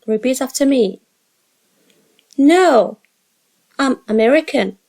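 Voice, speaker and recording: A woman English teacher reading short dialogue lines slowly and carefully for learners to repeat after her. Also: sits high, darkish tone. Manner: slow, carefully spaced drill reading.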